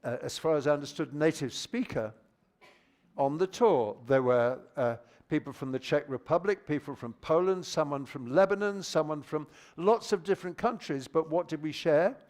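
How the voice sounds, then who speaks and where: A fairly high-voiced older man speaks into a handheld microphone to a teachers' audience. He is lively and informal. He often stumbles and restarts as he echoes listeners' comments. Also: normal speed. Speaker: plenary speaker on teaching methodology. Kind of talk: live conference talk with audience discussion.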